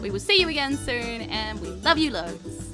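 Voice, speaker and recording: Female podcast host, New Zealand accent, chatty and giggly, fairly high voice, clear music underneath. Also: big pitch swings, normal speed. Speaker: podcast host and dungeon master. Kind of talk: casual podcast outro chat. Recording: music behind the voice.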